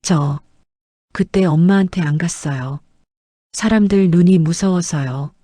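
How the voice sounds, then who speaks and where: A woman narrator plays a grown son full of remorse in a storybook-style drama. The delivery is subdued and hesitant, in a mellow, slightly low voice with no room echo. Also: a little slow, clean studio sound. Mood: remorseful, ashamed. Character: remorseful grown son confessing shame to his mother.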